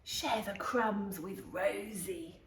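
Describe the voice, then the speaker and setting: Stern military dog trainer for a picture book female reader, brusque, unhurried delivery. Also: mid-range voice, a little hiss or hum. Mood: softening. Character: gruff, scary, moustached male dog-training brigadier. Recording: roomy, echoing room sound.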